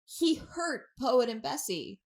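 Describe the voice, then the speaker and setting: An actress playing a confused, distressed young captive with lost memory, speaking in terse, questioning lines in an audio drama. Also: female voice, high voice, animated, slow, gravelly, heavily acted.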